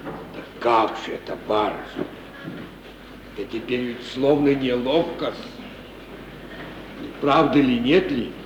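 An actor playing an old manservant, deferential yet grumbling, in a folksy 1950s Russian radio drama style. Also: male voice, medium pitch, slow, pauses often, natural voice. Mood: deferential, hesitant. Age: older. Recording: dull old radio recording, roomy, distant, noisy.

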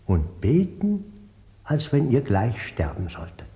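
An actor playing a grandfather who recounts pious old village life in a deliberate, emphatic radio drama monologue. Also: mid-range voice, huge pitch swings, mellow, exaggerated voice. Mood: solemn, reminiscing.

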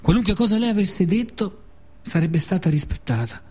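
Husky Roman man telling a theatrical story. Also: sits high, animated, mellow. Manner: dramatic pauses, repeated phrases, short fragments. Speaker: actor and author of a radio monologue. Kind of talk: theatrical scripted storytelling monologue.

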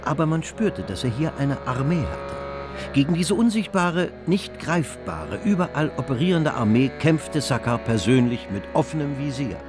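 A man's voice: medium pitch, big pitch swings, moderate pace. A man narrating a historical radio drama in a composed, scripted storytelling style. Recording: music under the narration.

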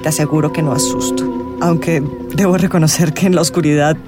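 An actress with a Colombian accent playing a mysterious, self-assured tarot reader in a radio drama, theatrical and sardonic. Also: female voice, sits low, steady tone, fairly quick, music in the background, lightly acted. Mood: mysterious, grand.

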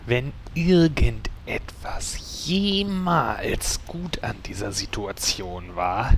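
A man acts a grumpy boss at the end of his patience in a comedy radio play. He speaks slowly at middle pitch in a menacing deadpan, with faint ambient noise. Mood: exasperated, threatening. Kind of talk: German comedy audio drama acting.

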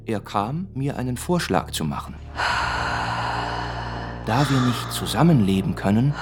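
An actor playing a suave, evasive, boastful young murder suspect in a German radio drama, theatrical and mocking. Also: male voice, fairly low pitch.

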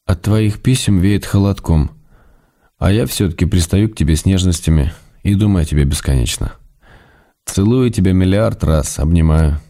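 An actor playing an ailing writer and tender, gently reproachful husband, reading his letters in a measured, intimate way for radio drama. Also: low voice. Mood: tender, wistful. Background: music.

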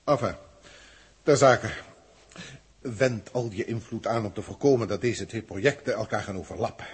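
A man playing a haughty, irritable senior alien official in a 1960s radio play, speaking in a pompous, formal manner with a husky voice. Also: lowish voice, normal speed. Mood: pompous, brooding. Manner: pompous, formal, emotionally indignant.

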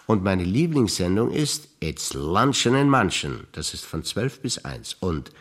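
An older man with a German accent recites his memories in a stage performance, his voice sitting low. He delivers each line in a dry, measured way, trading short recollections with a partner. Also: very animated, fairly bright tone. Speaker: stage actor.

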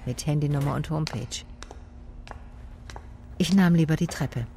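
Radio crime drama: female private eye, low register, dry, watchful, matter-of-fact, over noisy background ambience. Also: moderate pace, dark tone. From Swabia, Germany. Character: wary Swabian female private detective, dryly observant. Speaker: first-person narrator and lead character. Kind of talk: radio drama narration and dialogue.

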